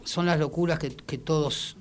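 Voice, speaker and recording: Higher-pitched Argentine man, conversational. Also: fairly even intonation, normal speed. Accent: Rioplatense Argentine Spanish. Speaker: musician and songwriter guest. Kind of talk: casual radio interview.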